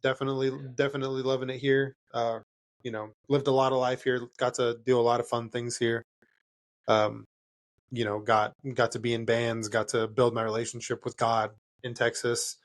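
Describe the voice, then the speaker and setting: A man's voice: mid-range voice, little pitch movement, normal speed. A man from California whose first language is Spanish, a band member answering interview questions in a loose, rambling way with frequent laughs and restarts. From California, USA. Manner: rambling, self-correcting, laughs often.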